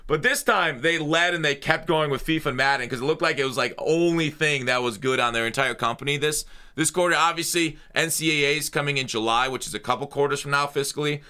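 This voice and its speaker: Bright-voiced man giving casual gaming commentary. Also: a little high, fast, few pauses, clear, resonant tone. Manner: opinionated, blunt, slangy. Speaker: gaming channel host.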